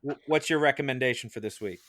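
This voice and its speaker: A man hosts a relaxed panel chat in a close, dry recording. His pitch sits in the middle of the male range, and he talks in a joking, teasing way as he runs the show. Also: fast talker, dry recording. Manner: jokey, teasing. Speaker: podcast host.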